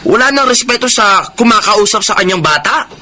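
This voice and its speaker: A man's voice: sits high, animated, fairly quick, bright, forceful tone, a little hiss or hum, heavily acted. A man playing a cocky, pushy young college bully with a taunting Filipino voice in a radio drama. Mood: aggressive. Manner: taunting, sneering.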